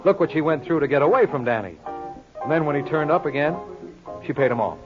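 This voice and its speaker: An actor playing a brash, cocky lawyer sleuth in a 1950s radio drama, glib and sardonic, needling everyone. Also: male voice, on the high side, animated, fast, lightly acted. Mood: smug, explaining. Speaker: lead actor of the series. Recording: muffled old radio recording, faint music.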